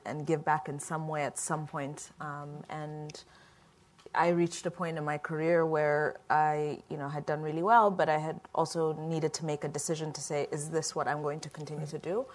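A middle-aged woman from Ethiopia, a company founder answering questions on a panel in a relaxed, reflective way. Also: sits low, moderate pace, a little hiss or hum.

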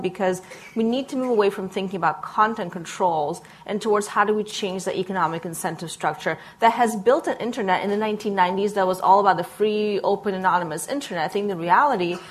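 A woman answering an audience question as a panelist, articulate and engaged, building long structured arguments. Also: mid-range voice. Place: conference panel before an audience.

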